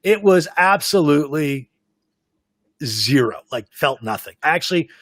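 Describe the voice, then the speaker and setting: A man hosting a live podcast, talkative and self-described as the loudest mouth of the group. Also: on the high side, expressive, unhurried, no room sound, studio quality. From Canada. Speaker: podcast host. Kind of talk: casual live podcast chat. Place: home in South Florida.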